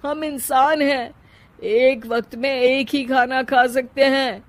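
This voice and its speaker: Woman acting an exasperated, high-voiced cartoon husband. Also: steady tone, slow. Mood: fed up, pleading. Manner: complaining, emphatic. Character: exasperated husband, scolding and long-suffering man.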